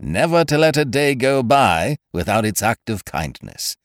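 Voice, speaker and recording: A man's voice: mid-range voice, sing-song, a little slow, no echo, studio quality, pitched above the performer's own voice. A man voicing a breezy, self-assured young schemer in a storybook, talking in an expansive, chummy way.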